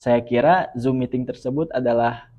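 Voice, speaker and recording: Young man, earnest and measured. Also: mid-range voice, dark tone. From West Java, Indonesia. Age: young adult. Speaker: student guest. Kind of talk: podcast interview.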